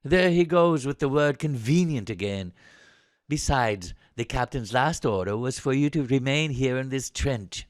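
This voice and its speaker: A man's voice: medium pitch, animated, a little slow, dry recording, studio quality, lightly acted. Haughty upper-class English young soldier, acted by a man. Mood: sarcastic. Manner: haughty, sarcastic, clipped. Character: posh, well-bred, injured young British soldier, haughty. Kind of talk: audio drama acting.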